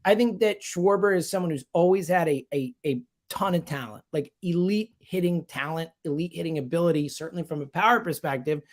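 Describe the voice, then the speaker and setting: A man's voice: on the high side, a little fast, few pauses. A man giving sports analysis in conversation, speaking emphatically and repeating himself to drive points home. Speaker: sports radio host as guest analyst.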